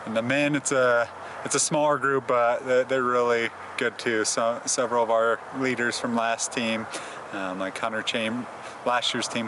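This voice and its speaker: An American man speaks as a coach in a flat, little-varying tone over clear outdoor noise. His phrasing is halting, full of ums and repeated words. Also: mid-range voice. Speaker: cross country head coach. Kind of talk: on-location interview at practice. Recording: noisy outdoor location sound.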